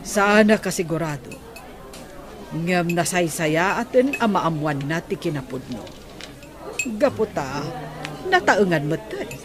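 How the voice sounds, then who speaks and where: A woman's voice: fairly low pitch, lively intonation, measured pace, pauses often. A woman with a Filipino accent playing a supportive, frank middle-aged friend in a radio drama. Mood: concerned, advising. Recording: restaurant chatter and clinking behind.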